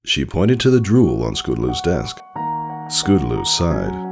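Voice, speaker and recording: A man narrating a scripted story in a measured, dramatic storybook style. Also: a bass voice, huge pitch swings, gravelly, music in the background. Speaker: fan fiction narrator.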